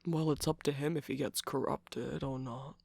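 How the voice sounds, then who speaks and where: A man voicing a smug, teasing villain's henchman in a romantic ASMR roleplay, breathy and gravelly, drawling and dropping into whispers close to the mic. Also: mid-range voice, fairly even intonation, normal speed, darkish tone, dry recording. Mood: resigned, smug. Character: teasing, jaded, smug male villain's minion cookie. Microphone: close microphone, dry sound.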